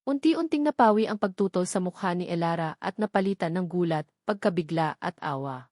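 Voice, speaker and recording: A woman's voice: lowish voice, expressive, a little fast, a little mellow, dry recording, studio quality. A woman with a Filipino accent reading a scripted, dramatic romance story as narrator.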